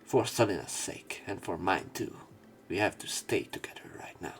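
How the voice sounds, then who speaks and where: A man reading a visual novel aloud as a let's player, in an expressive, dramatic narration style. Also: deep voice, normal speed, faint music behind. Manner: expressive, with emotional swells.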